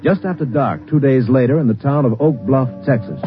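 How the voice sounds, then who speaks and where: A man as a grave American narrator reads case files for a 1950s radio police drama in a formal, medium-pitched voice. Faint music sits behind, and the old recording is dull. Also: fairly quick, lightly acted. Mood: grave, factual.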